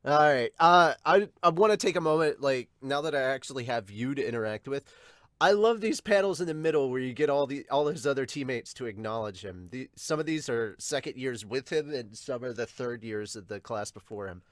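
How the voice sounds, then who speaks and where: A man hosting a casual manga reaction, talking enthusiastically in a halting, digressive way. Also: medium pitch, expressive, a bit bright, dry recording, clean studio sound. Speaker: podcast host.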